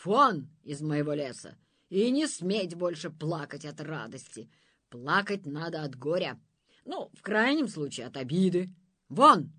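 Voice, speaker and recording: A woman voicing a boastful, bullying male forest monster who shouts and sings his boasts. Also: deep voice, sing-song, a little slow, slightly rough, dry recording, full character voice. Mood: furious. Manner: shouting, stamping, sing-song boasting.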